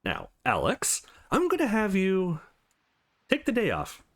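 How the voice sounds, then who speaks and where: A man voicing a shrewd, sentimental old lawyer in a visual novel, speaking formally and with measured care. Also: high-pitched, huge pitch swings, a bit bright, pitched above the performer's own voice, heavily acted. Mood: dry, businesslike.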